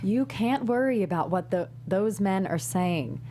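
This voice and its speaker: A woman at a script table read playing a wise older female mentor who gives tough love in measured, emphatic advice. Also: medium pitch, expressive, mellow, a little hiss or hum, lightly acted. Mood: blunt, reassuring.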